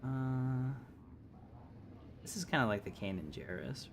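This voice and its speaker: A man's voice: mid-range voice, measured pace, slight background noise. A man giving casual live gameplay commentary, musing aloud and hesitating as he thinks through choices. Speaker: game livestreamer, host.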